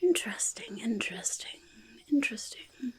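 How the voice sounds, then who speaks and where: A woman voicing a quirky, eager little goblin in a soft-spoken ASMR roleplay, creaky and whispering, with halting, repetitive speech. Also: on the high side, big pitch swings. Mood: intrigued. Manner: halting, repetitive, third-person speech with drawn-out words. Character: quirky, eager, faintly menacing little goblin, friendly to humans. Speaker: ASMR performer in character.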